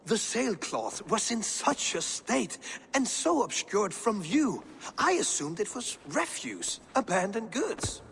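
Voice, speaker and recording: A self-righteous artist pleading his case, his pitch swooping dramatically up and down. His voice echoes with a long reverb over faint noise. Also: very animated, slow pace, vocal fry, a bit bright, heavily acted. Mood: indignant. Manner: pompous, self-righteous, theatrical. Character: indignant male settler artist, pompous and defensive.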